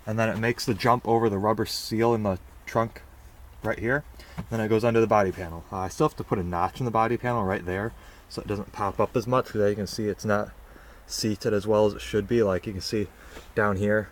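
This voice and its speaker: A young man giving a relaxed, rambling how-to walkthrough while filming outdoors in the snow and inside his car on a handheld camera. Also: on the low side, a little hiss or hum. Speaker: vlogger.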